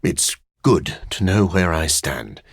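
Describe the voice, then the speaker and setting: A living-vampire man of science for a video game, with a husky, rasping voice. He is formal and brooding, with a sardonic, dry wit. The pitch sits fairly low and the sound is close, with no room around it. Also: expressive, slow pace, no room sound, heavily acted. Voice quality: husky, raspy. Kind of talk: video game character voice acting.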